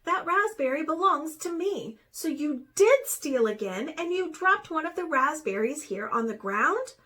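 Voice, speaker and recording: A woman's voice: sits high, big pitch swings, measured pace, sharp tone, heavily acted. A woman voicing an aggrieved storybook ferret in an emphatic, plaintive way. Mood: accusing.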